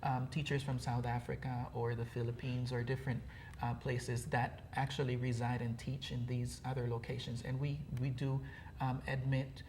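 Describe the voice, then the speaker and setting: Man, Costa Rican Spanish accent, middle-aged, measured, lots of ums, interview guest. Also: mid-range voice, level tone, a little mellow, a little hiss or hum. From Costa Rica. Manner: measured, explanatory, frequent fillers. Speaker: program director guest.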